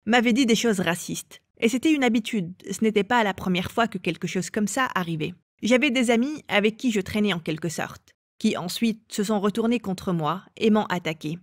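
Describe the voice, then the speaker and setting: A woman's voice: mid-range voice, no room sound, studio quality. A woman from England telling her own life story as an interview guest. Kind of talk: personal testimony in an interview.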